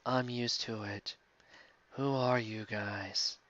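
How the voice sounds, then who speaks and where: A man's voice: lowish voice, fairly even intonation, slow, fairly bright tone, dull audio, pitched below the performer's own voice. A man voicing a cool, unbothered, self-satisfied Mary Sue heroine in a comedic fan fiction reading.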